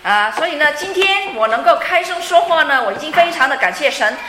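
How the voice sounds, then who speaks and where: A woman's voice: high voice, expressive, a little fast, background noise. A woman interpreting a sermon phrase by phrase, fluent but with frequent fillers and light laughs. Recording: echoey room.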